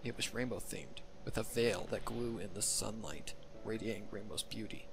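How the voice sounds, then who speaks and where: A man's voice: fairly low pitch, normal speed, creaky, music in the background, low-quality recording. A man giving scripted narration of a fan fiction story.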